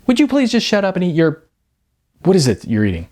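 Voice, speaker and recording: A man's voice: high voice, wildly swooping pitch, a little fast, a little mellow, voice pushed up, exaggerated voice. A man voicing a blunt, meddling male restaurant diner who butts in snidely, in an audiobook. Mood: snide, exasperated. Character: nosy, blunt male diner at the next table. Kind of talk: audiobook character dialogue.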